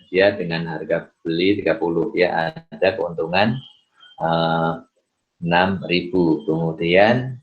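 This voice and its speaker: Male lecturer, low register, halting explanatory delivery with repeated words, dull lo-fi sound. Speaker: accounting lecturer. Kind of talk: explanatory lecture with worked examples. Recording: dull lo-fi voice recording.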